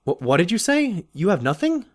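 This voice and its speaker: An actor playing an earnest, easily flustered pony doctor, an expressive sidekick in an audio drama. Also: male voice, high-pitched, brisk. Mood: flustered, indignant. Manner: expressive, stammering when embarrassed.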